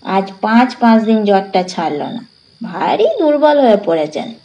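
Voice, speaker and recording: A woman voicing a male village doctor in a storybook reading, businesslike, measured and concerned as he asks and advises. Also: medium pitch, sing-song, dark tone, highs cut off. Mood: grave concern.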